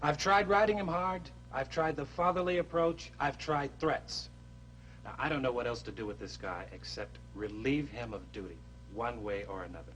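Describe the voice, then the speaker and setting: A man's voice: mid-range voice, normal speed, fairly bright tone, slight background noise, lightly acted, low-quality recording. A man playing a weary, frustrated army captain. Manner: weary, exasperated explaining. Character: frustrated male army captain, company commander. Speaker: company commander. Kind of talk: 1960s educational film acting.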